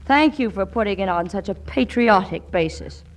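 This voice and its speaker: An actress playing a plucky, wry young romantic heroine in a 1940s radio comedy, with a dry delivery. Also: female voice, medium pitch, expressive, normal speed, close to an everyday voice. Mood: wry, resigned.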